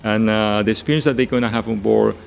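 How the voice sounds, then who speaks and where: Gravelly middle-aged man with Ecuadorian accent. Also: on the low side, fairly even intonation, fast, faint music behind. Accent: Ecuadorian Spanish. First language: Spanish. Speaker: ship director, interview guest. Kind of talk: earnest interview answer.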